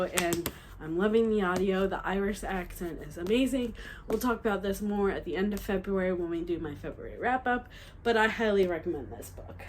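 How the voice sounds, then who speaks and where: A woman's voice: mid-range voice, slight background noise. Chatty young woman, a bit nasal. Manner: chatty, excited, many fillers and restarts. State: slightly nasal from a cold. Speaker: book vlogger. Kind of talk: casual book haul chat.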